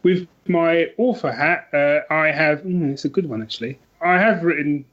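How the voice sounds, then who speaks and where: A man's voice: on the high side, normal speed, fairly bright tone, highs cut off. A man chatting casually as an interview guest, self-deprecating and prone to rambling asides. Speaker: podcast guest, author.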